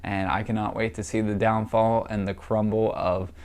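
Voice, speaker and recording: A man's voice: fairly low pitch, level tone, normal speed, darkish tone, smooth. A young man talking in casual political commentary, congested and nasal from allergies, opinionated and scornful with many fillers. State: congested, stuffy nose from allergies.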